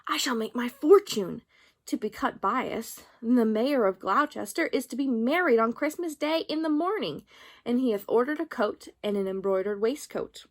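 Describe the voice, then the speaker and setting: A woman's voice: fairly high pitch, expressive, moderate pace. A woman voicing a poor, weary old male tailor who mutters fretfully in old-fashioned words, in a storybook reading. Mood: musing.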